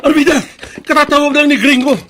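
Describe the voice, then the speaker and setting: Panicky, very high-pitched male crook. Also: flat delivery, fast, noisy background. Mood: alarmed. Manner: frantic, urgent. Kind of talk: radio drama acting.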